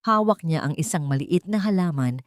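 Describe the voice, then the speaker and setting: A woman's voice: deep voice, lively intonation, normal speed, no room sound, clean studio sound. A woman with a Filipino accent reading a scripted dramatic story in an earnest, sentimental manner. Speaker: audio story narrator.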